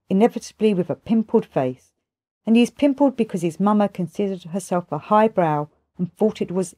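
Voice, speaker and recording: A woman's voice: medium pitch, dark tone, no room sound, natural voice. A woman voicing a kindly but sarcastic male pharmacist who explains things at length in an audiobook. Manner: sarcastic, expository, rhetorical questions. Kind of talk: audiobook character dialogue.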